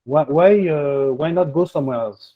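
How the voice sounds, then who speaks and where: A man's voice: fairly high pitch, normal speed. A man with a French accent coaching applicants in a webinar, rambling and checking in with "okay?" as he goes. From France. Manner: rambling, peppers speech with "okay?" and "huh?". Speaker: admissions coach hosting a webinar. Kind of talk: advice in an online webinar. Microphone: video call. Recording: tinny phone-like video call audio.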